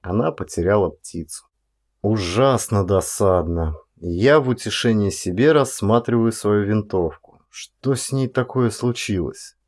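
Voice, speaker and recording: A man, an actor, reads a first-person story as an expressive audiobook performance, with varied delivery and deliberate pauses. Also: fairly low pitch.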